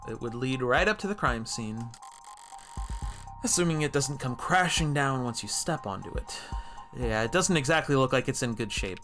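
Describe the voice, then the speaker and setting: A street-wise noir game detective, read out by a man, speaks in a wry, clipped way. The voice is middle-pitched and sardonic, with music underneath. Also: a bit bright, lightly acted. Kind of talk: acted reading of game dialogue.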